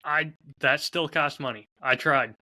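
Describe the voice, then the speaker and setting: A man's voice: a little high, measured pace, pushed and bright, dry recording. A man co-hosting a podcast in casual banter, with a dry, self-deprecating manner. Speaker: podcast co-host.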